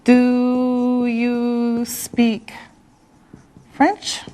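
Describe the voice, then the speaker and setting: A woman teaching an English class, speaking slowly and deliberately with clear enunciation. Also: on the high side, monotone, dark tone, soft background music. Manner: slow and deliberate, drawing out words.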